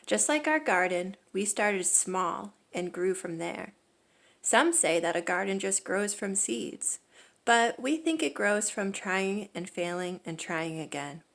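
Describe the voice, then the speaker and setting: A woman reading a children's picture book aloud as a storyteller, with expressive, emphatic delivery. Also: medium pitch, gravelly.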